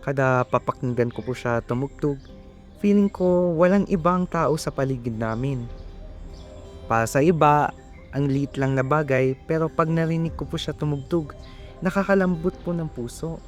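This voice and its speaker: A man's voice: fairly high pitch, normal speed, soft background music, lightly acted. A man with a Filipino accent playing a polite, nervous, earnest young suitor in an amateur radio drama. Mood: earnest, admiring. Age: young adult.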